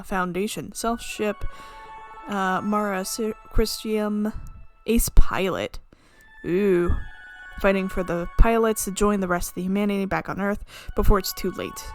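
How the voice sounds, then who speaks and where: A woman streaming a playthrough, giggly and excitable, reading game text aloud and reacting casually as she goes. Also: medium pitch. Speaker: gaming streamer playing a visual novel.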